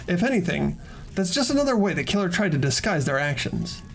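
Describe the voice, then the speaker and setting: A woman playing an earnest, determined teenage investigator in an amateur game dub, expressive in dialogue and inner narration. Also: lowish voice, brisk, gravelly. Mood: thoughtful, determined. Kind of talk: scripted amateur visual novel voice acting and inner narration.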